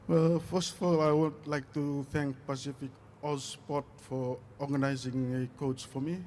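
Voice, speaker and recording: A man answers interview questions as an athlete at a live event. He speaks slowly and haltingly, with frequent hesitations and little movement in pitch. There is faint noise in the background. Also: little pitch movement, a little mellow. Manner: hesitant, halting with many long pauses. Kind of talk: unscripted on-stage interview answers.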